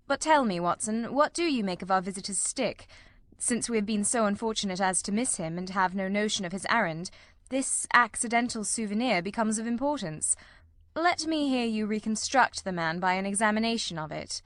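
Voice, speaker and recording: A woman plays a shrewd male detective in a dramatized audiobook. The delivery is measured and precise, with a condescending self-assurance. The mid-pitched voice sits in a very dry recording. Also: lively intonation, a bit bright, no room sound, lightly acted. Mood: probing, brisk.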